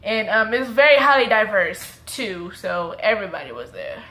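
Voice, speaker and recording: A woman's voice: medium pitch, expressive, moderate pace, sharp tone, low-quality recording. A woman in her twenties from the Dallas suburbs with a suburban Texas accent, chatting in a rambling, self-correcting way as she tells a story to camera. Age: 20s. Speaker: vlogger.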